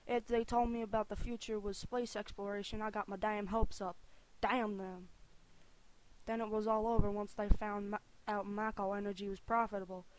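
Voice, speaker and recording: A woman's voice: mid-range voice, little pitch movement, moderate pace, lightly acted, poor audio. Woman doing a brash, grumbling male pilot captain. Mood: bitter, angry. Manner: brash, grumbling, redneck-style talk. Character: gruff, bitter, swearing male pilot captain with lost dreams. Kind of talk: anime-style game fandub audition.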